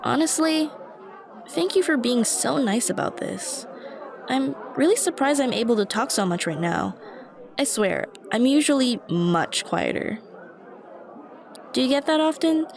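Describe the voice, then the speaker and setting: A woman's voice: on the high side, vocal fry. Shy, rambling young woman over cafe chatter, acted. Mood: shy, grateful. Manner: hesitant, self-conscious, nervous rambling. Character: shy, anxious, rambling young woman stranger in coffee shop. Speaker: roleplay voice actress. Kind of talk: ASMR roleplay, addressing the listener. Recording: busy cafe chatter behind voice. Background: coffee shop crowd murmur.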